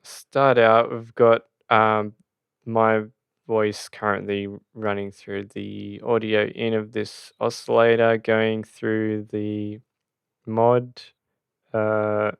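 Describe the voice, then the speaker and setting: A man hosts a casual sound design tutorial in a fairly low, smooth voice. He speaks haltingly and thinks aloud as he demonstrates, with plenty of vocal fry. The recording is close and dry. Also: little pitch movement, dry recording.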